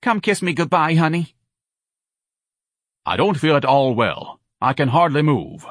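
A man voicing an anxious, pleading older mother from New with a high, quavering voice. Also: very animated, measured pace, fairly bright tone, no room sound, clean studio sound.

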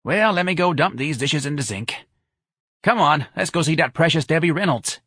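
Brash working-class woman acted by a male narrator, audiobook dialogue, bossy and scolding, husky, animated delivery. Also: on the high side, normal speed, dry recording, studio quality, pitched above the performer's own voice. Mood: brisk. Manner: pushy, emphatic, scolding.

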